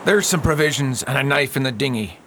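A man's voice: medium pitch, lively intonation, brisk, background noise, close to an everyday voice. An actor playing a grave, superstitious pirate crewman with ominous archaic talk in a radio drama.

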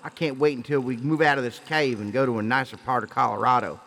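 A man's voice: medium pitch, steady tone, fast, vocal fry, a bit bright. Man playing a grumbling outlaw sidekick in echoing space. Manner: complaining, defensive. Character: grumbling, put-upon male outlaw sidekick in western. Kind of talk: western radio drama acting. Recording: long reverb, faint background noise.

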